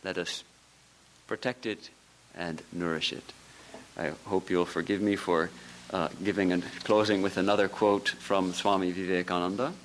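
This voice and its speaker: A man with a fairly low voice gives a temple lecture as a monk. He tells small stories with soft laughter and builds his points through earnest repetition. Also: level tone, normal speed, a little hiss or hum, low-quality recording. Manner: storytelling, with soft laughs and earnest repeated phrases. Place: temple hall.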